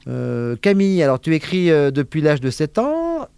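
A man's voice: a little high, normal speed, vocal fry, clean tone, no room sound. A man hosting a radio interview show, chatting casually and reading out guest introductions. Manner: relaxed, chatty, reads introductions. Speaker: radio host.

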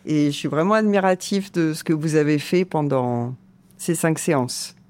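A woman teacher speaking warmly to her students, admiring their work, with hesitant fillers. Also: deep voice, normal speed.

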